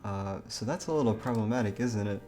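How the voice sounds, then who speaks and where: A man's voice: lowish voice, fairly quick, mellow. A man hosting a live stream in a casual, chatty way, giggly and easily sidetracked. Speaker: livestreamer. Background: background music.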